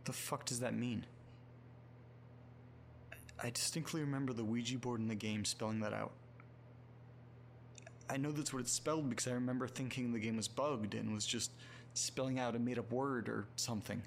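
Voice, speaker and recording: A man playing a muddled, self-deprecating guy muttering sweary, halting asides to himself in a horror audio drama. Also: medium pitch, steady tone, gravelly, natural voice. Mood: puzzled. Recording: noticeable background noise with hum.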